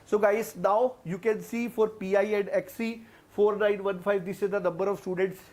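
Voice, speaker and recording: A man's voice: high voice, fairly even intonation, normal speed, darkish tone. A young man with an Indian English accent teaching an online exam-prep class, explaining step by step and often repeating himself. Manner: explains step by step, repeats phrases, addresses students as guys.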